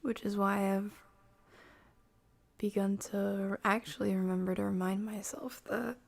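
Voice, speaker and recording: A woman streamer chatting casually to viewers in a soft, breathy, often half-whispered voice with a gentle, unhurried manner. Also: medium pitch, flat delivery. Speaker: game streamer and host. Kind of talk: casual livestream chat to viewers.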